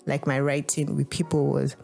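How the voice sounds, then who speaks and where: A woman's voice: very deep voice, little pitch movement, normal speed, creaky, a little mellow, soft background music. A young Nigerian woman with a Nigerian English accent, hosting a podcast in a casual, reflective monologue, full of "like" and restarts. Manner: rambling, heavy use of "like" and restarts. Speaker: podcast host.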